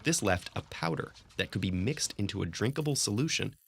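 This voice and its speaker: A man narrates an educational video from a script in a low, gravelly voice. His delivery is measured and steady, like a storyteller. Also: slight background noise. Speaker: documentary narrator.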